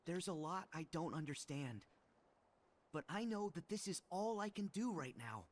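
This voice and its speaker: A man's voice: a little high, lively intonation, moderate pace, lightly acted. A man voicing an earnest, stubbornly hopeful teenage boy hero in a video game cutscene. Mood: determined. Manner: earnest, determined.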